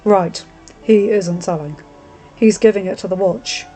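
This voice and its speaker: A woman's voice: mid-range voice, measured pace, warm tone, faint music behind, close to an everyday voice, low-quality recording. A woman voicing a terse young blacksmith man. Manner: terse, plain-spoken, matter-of-fact. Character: gruff young lowborn male blacksmith, reserved and blunt.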